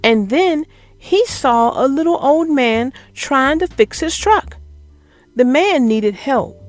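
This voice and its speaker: A woman narrating a children's picture book as an expressive, theatrical read-aloud. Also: high voice, slow pace, soft background music.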